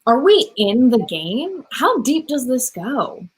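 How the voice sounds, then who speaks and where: Woman acting a high, husky, sceptical heroine. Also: big pitch swings, slow, heavily acted. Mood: exasperated. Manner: sceptical, pointed. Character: exasperated, sceptical young female camper hero. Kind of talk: audio drama acting. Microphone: video call.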